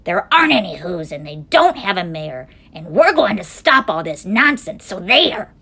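A woman voicing rude, bullying monkey brothers in a storybook, blustering and shouting. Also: fairly low pitch, sing-song, normal speed, vocal fry, husky, exaggerated voice.

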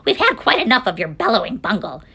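A woman's voice: fairly high pitch, big pitch swings, moderate pace, a bit bright, slightly rough, voice pushed up, exaggerated voice. A woman voicing a bossy, scornful female kangaroo, a storybook villain with a snappish, haughty manner. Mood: scornful, indignant.

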